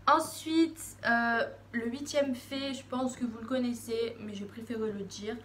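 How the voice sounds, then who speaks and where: Une adolescente qui parle face à sa caméra, bavarde, hésitante, avec beaucoup de « euh » et de reprises. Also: female voice, on the high side. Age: teens. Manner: chatty, rambling, many fillers and restarts. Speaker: vlogger. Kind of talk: casual talk to camera. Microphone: phone camera. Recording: echoey room, faint background noise.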